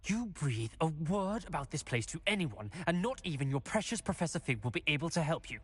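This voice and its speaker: A man voicing a suspicious, haughty teenage wizard schoolboy in a video game, with a British accent, a husky voice and a sharp, accusing manner. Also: a little high, big pitch swings, fairly quick, full character voice. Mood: angry, threatening. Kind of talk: scripted video game dialogue. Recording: long echoing reverb.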